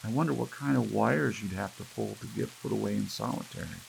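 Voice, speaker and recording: A folksy, contented woman full of dreamy wonder, played by a male audiobook reader. The lines are pitched up from a deep voice, with rain and hiss underneath. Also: fairly quick, gravelly, rough recording. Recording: steady rain and hiss behind.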